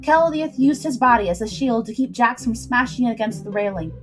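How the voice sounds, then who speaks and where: A woman's voice: fairly high pitch, expressive, a little fast, faint music behind, low-quality recording. A woman storyteller reading scripted adventure fiction aloud in a dramatic narrating style. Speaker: storyteller, livestream host.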